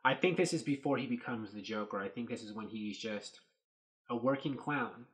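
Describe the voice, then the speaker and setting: A man in his thirties talks through movie photos in an easygoing, rambling way, pausing, restarting and filling gaps with "you know" and "like." His voice sits at an ordinary middle pitch. He speaks close to a desk webcam in a small dark room. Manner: rambling, thinking aloud with many fillers. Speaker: host. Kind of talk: casual commentary on set photos.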